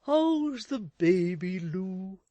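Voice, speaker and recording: A woman voicing a flustered, meek middle-aged husband who talks slowly and sheepishly. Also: mid-range voice, animated, dark tone, no echo, voice pushed up. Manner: slow, hesitant, sheepish.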